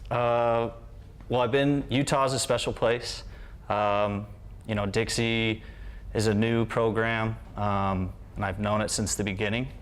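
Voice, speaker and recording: A man, a soccer coach, answering interview questions in a studio in short, halting phrases. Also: lowish voice, flat delivery, clean tone.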